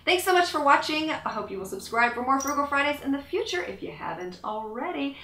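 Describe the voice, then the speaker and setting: Bubbly bright-voiced young woman vlogger. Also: high-pitched, very animated, brisk, echoey room. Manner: bubbly, upbeat, emphatic listing. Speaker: beauty vlogger. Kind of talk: scripted product review to camera. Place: small pink-walled room, indoors.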